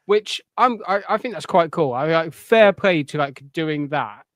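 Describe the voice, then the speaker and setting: A man with a smooth, clear voice, pitched a bit high. He chats casually as a podcast co-host, recorded dry and close. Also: animated, unhurried, a bit bright, no room sound. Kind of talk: casual pop culture podcast chat.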